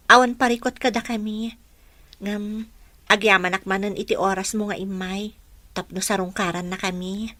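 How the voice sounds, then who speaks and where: An actress playing a grateful, gentle young single mother in a radio drama, speaking earnestly. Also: mid-range voice, fairly even intonation, normal speed, no echo, lightly acted. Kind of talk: Ilocano radio drama acting.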